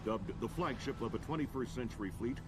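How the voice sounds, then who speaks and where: An actor playing a composed TV news anchor, reading world events in a measured, formal way. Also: male voice, mid-range voice, animated, noisy background, close to an everyday voice. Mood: grave, measured. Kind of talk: scripted news narration.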